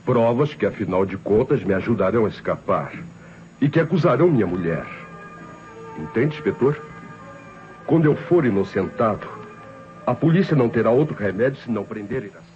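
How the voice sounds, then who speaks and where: An actor playing a cunning married murder suspect in an old Brazilian radio drama, with theatrical, measured delivery. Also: male voice, fairly low pitch, animated, darkish tone, soft background music, close to an everyday voice. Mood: smug, defiant. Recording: dull vintage radio recording.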